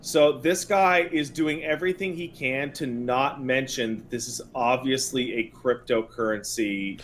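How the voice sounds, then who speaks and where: A man's voice: mid-range voice, unhurried, a bit bright. Blunt, sarcastic man on a podcast. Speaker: podcast co-host and video game commentator. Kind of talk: casual opinionated podcast chat about video games.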